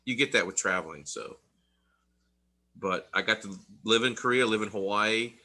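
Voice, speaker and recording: Bright-voiced middle-aged man, rambling. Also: on the low side, normal speed. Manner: rambling, many restarts, laughs between thoughts. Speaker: interview guest, Army veteran. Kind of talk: casual conversational interview.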